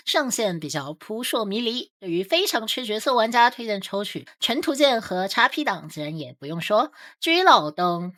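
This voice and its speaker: A woman's voice: mid-range voice, a bit bright. A woman reading scripted commentary for a game guide video as its host.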